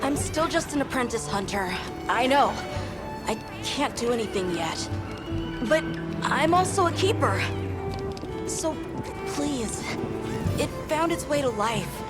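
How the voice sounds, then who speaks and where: Earnest young apprentice hunter. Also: female voice, high-pitched, animated, slow, long pauses. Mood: pleading. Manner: pleading, earnest. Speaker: game character. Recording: game cutscene with loud music.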